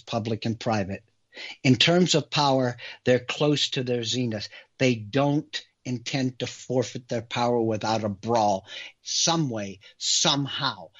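An American man hosts a political talk show in a husky, unclear voice. He speaks emphatically to his listeners, posing rhetorical questions and pausing for weight. The audio is slightly dull and lo-fi. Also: medium pitch, a bit bright. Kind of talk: opinionated monologue to listeners.

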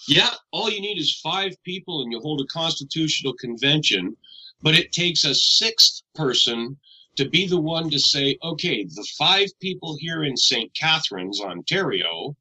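Bright-voiced man guesting on a radio show. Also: a little high, lively intonation, normal speed, breathy edge, rough recording. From Canada. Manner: rambling, conversational, self-correcting. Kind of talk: casual radio interview.

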